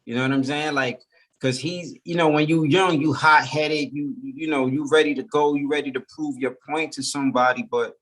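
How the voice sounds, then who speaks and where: A man's voice: fairly high pitch, fairly even intonation, moderate pace, a bit bright, low-quality recording. A man, a rapper guest from Brooklyn talking loosely in a casual interview, full of fillers. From Brooklyn, New York. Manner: laid-back, loose, full of "you know".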